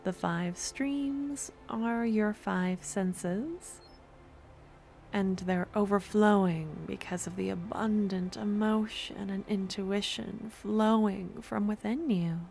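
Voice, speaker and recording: A woman playing a devoted, possessive young necromancer stalker in an ASMR roleplay, speaking softly and breathily with gentle, sweetly menacing coaxing. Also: medium pitch, slight background noise. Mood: tender, curious. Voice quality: soft, often breathy and whispery. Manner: slow, cooing, gentle coaxing. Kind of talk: ASMR audio roleplay, close and intimate.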